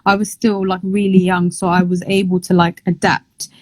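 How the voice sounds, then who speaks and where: A woman's voice: on the low side, level tone, moderate pace, no room sound. Chatty woman, dry close sound. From Somalia, raised in London. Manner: chatty, lots of "like" fillers, laughs easily. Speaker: boxer, interview guest. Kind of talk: casual interview chat.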